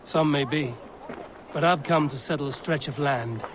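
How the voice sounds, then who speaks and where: A man's voice: fairly high pitch, moderate pace, natural voice. A man playing a cool, determined Norse warrior in a video game, outdoor noise behind. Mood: matter-of-fact. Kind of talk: dramatic video game dialogue.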